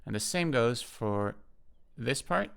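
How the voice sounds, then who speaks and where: A man giving an unscripted software walkthrough, explaining step by step in a matter-of-fact way and pausing to think aloud. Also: medium pitch, animated, vocal fry, smooth. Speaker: software tutorial presenter. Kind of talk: unscripted screen-share walkthrough.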